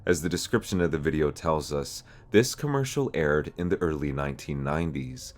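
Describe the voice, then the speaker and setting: A man's voice: rumbling low, sing-song, normal speed. A man hosting an explainer series in a semi-scripted narration style. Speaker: host of an analog horror explainer series.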